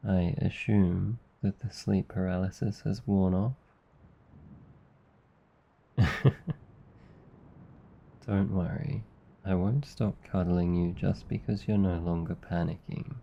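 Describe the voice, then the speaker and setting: Low, gravelly Australian demon comforter, acted by a man. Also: steady tone, slow, pauses often, highs cut off, full character voice. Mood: tender, teasing. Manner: soft, intimate, soothing, teasing. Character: gentle, affectionate male sleep paralysis demon, protective comforter. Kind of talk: ASMR roleplay.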